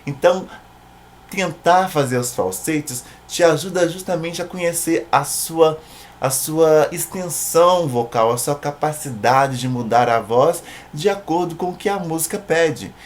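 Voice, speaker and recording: A man's voice: on the high side, a little slow, a little hiss or hum. A man with a Brazilian Portuguese accent narrating an informal singing tutorial in a conversational way, often checking in with short tag questions.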